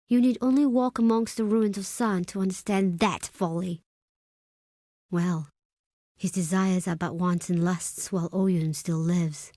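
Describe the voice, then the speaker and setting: Woman acting a low-voiced, proud desert tribeswoman. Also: darkish tone, dry recording, clean studio sound, natural voice. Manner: solemn, formal, imperious. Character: proud, stern desert tribe woman, second-in-command, wary of outsiders. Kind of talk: dramatic video game character acting.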